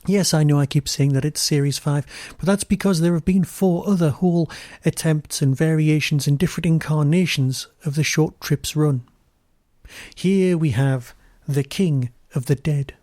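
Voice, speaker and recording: A man's voice: fairly high pitch, normal speed, a little mellow, no echo, studio quality. A man presenting a podcast review as a scripted monologue.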